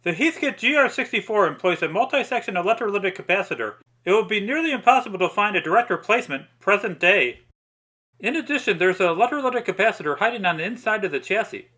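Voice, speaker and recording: A man's voice: high-pitched, lively intonation, fast talker, pushed and bright. A man reading a scripted step-by-step restoration narration in precise, methodical phrasing. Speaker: narrator of a radio restoration video.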